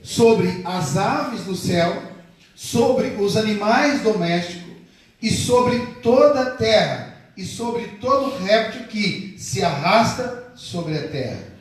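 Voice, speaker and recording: A man preaches a sermon, his fairly high voice ringing through a very echoey church hall. He stands well back from the mic, stretches key phrases slowly and keeps calling on the congregation to answer. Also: animated, distant mic. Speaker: pastor.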